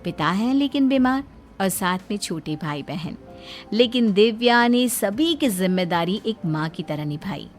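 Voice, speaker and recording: A woman's voice: medium pitch, expressive, measured pace, faint music behind. A woman reading scripted narration as the storyteller of a moral tale.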